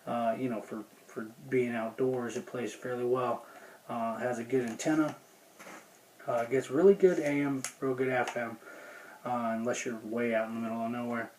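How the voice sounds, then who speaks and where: A man's voice: medium pitch, darkish tone, slight background noise, low-quality recording. A man giving a casual, unscripted product review in a plainspoken, folksy, rambling way. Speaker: gear review video host.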